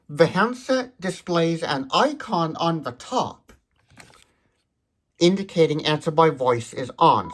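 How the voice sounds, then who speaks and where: A man's voice: a little high, a bit bright. A man giving an explanatory product demonstration in a methodical, precise way, stressing key words. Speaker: tech demonstrator.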